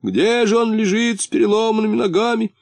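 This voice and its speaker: A man voicing an anxious, soft-hearted, tearful little storybook character who laments and stammers. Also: lowish voice, wildly swooping pitch, fairly quick, no room sound, exaggerated voice. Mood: lamenting.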